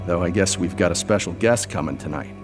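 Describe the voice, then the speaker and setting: A man's voice: deep voice, brisk, over loud music, close to an everyday voice. A man playing a bored, chatty night guard in a video game, talking casually. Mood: idle, curious. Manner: casual, idle. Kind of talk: video game cutscene acting.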